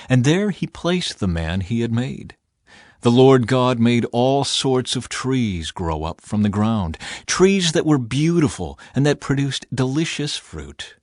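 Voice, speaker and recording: A man narrating scripture as an audiobook in an expressive, deliberate, dramatic storytelling style. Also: mid-range voice, no room sound, clean studio sound.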